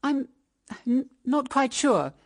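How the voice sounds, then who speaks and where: An actress playing an anxious older British mother-in-law in a period radio drama, earnest and halting, with a solemn, pleading way of speaking. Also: sits high, big pitch swings, full character voice. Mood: flustered, evasive.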